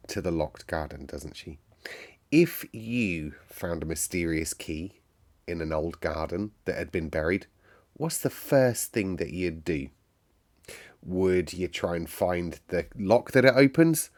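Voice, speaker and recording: Low-pitched man reading a children's story. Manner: animated, informal, addresses listeners directly. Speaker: storytime reader and host. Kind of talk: expressive children's storybook read-aloud with chatty asides.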